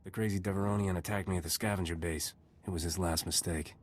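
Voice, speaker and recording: Game casting: roguish space gunslinger, deepish voice, quick delivery, dry sarcasm. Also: male voice, steady tone. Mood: cool, sardonic. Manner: dry, sardonic. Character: cocky, wry gunslinger smuggler captain, a man. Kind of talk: video game voice acting.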